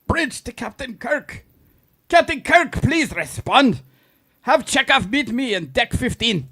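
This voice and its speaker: A man acting a rattled, excitable starship captain in an amateur sci-fi script read, with a gravelly, raspy voice. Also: extremely high pitch, slow pace, full character voice. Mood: urgent.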